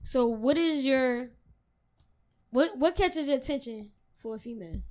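A young woman co-hosting a casual chat, cutting in with quick banter. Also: high voice, a little mellow. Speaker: podcast co-host.

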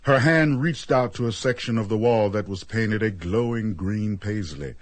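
A man narrating a radio drama as a measured storyteller, reading scripted prose. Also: fairly low pitch, dry recording, lightly acted. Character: measured storyteller of a strange tale.

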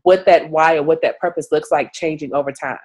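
A woman journalist being interviewed, talking casually with lots of fillers, stuffy from a cold and often clearing her throat. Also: low voice, steady tone, brisk, darkish tone. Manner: chatty, many "like" and "you know" fillers. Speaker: journalist guest.

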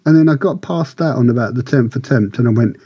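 A man's voice: medium pitch, fast, dark tone, slightly rough, dry recording. A man co-hosting a podcast, telling anecdotes about films in casual chat. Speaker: podcast co-host.

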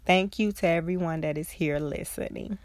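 A woman's voice: on the low side, dry recording. A young woman hosting a casual solo podcast, congested from a cold, talking in an earnest, heartfelt way. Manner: earnest, heartfelt, slow and reflective. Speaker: podcast host, social worker.

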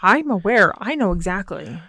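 A woman speaking as a mother in casual chat, calm and measured, teasing gently and laughing softly. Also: mid-range voice, no echo. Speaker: mother, co-host.

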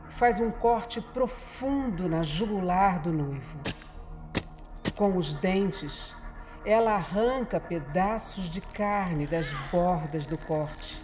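A woman with a Brazilian accent narrating a horror radio drama from a script, in a deliberate, dramatic storytelling manner. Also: lowish voice.

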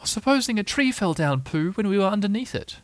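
A timid little pig from a storybook, played by a man. The voice is pitched high and sounds eager, childlike and a bit anxious. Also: lively intonation, a little fast.

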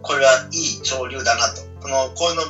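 A man's voice: medium pitch, moderate pace, fairly bright tone, soft background music. A man co-hosting a casual chat, earnestly explaining his points and seeking agreement. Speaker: talk show co-host. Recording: muffled, roomy, distant from mic.

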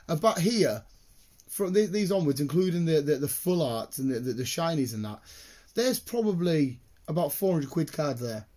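A British man chatting casually in a rambling, excitable, sweary way, with a slightly croaky voice. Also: fairly high pitch. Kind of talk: casual chat, rambling anecdotes.

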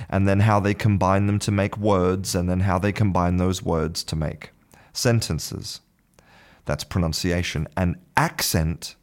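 Slow, low-voiced man teaching. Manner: measured, repeats words while searching for them. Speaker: English pronunciation teacher. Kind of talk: explanatory video lesson.

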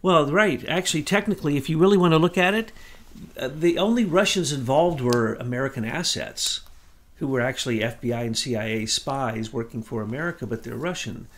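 A middle-aged man co-hosting a political commentary talk, talking fast and insistently. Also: medium pitch, a bit bright. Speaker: co-host.

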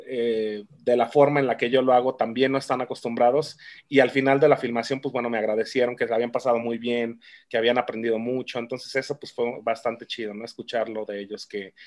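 A man's voice: medium pitch, steady tone, brisk, darkish tone. A Mexican man, a film director, chatting casually in an interview, with many fillers and restarts.

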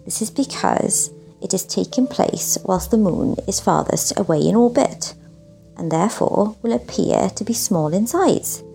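A woman's voice: mid-range voice, expressive, a little slow, gravelly, warm tone, soft background music. A woman presenting a literary showcase, introducing pieces and reading her own reflective writing in a scripted style.

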